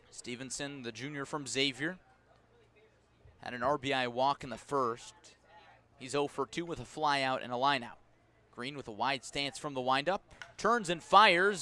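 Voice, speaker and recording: Guy doing live baseball radio play by play, medium pitch, steady delivery that builds on the action, at the ballpark. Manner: measured between pitches, rising to excited calls on action. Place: outdoor ballpark broadcast.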